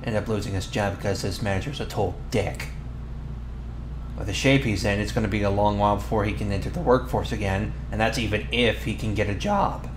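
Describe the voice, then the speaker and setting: A flustered teenage bully boy, acted by a man in an ASMR boyfriend roleplay. His voice sits on the low side, and he talks casually and haltingly, defensive yet sheepish. The sound is roomy, with clear background noise. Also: close to an everyday voice. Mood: weary, confessional.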